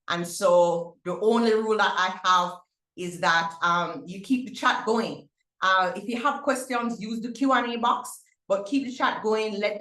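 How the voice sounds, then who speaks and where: Upbeat middle-aged Jamaican woman hosting a webinar, mid-pitched, echoey room. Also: sharp tone. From Barbados. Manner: upbeat, with frequent fillers and restarts. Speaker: webinar host. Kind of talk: webinar info session.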